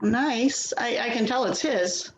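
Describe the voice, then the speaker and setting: A woman's voice: a little high, slow, slight background noise. A woman playing an anxious, hurt grown daughter in a dramatic play reading. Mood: impatient.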